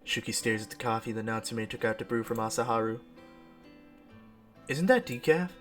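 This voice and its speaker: A man's voice: fairly low pitch, completely flat delivery, fast, fairly bright tone. A young man doing casual playthrough commentary, reading aloud and reacting playfully, sometimes tripping over his words. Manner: playful, self-mocking, stumbles and stutters over words. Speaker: gaming video host. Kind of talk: casual playthrough commentary with read-aloud visual novel text. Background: music.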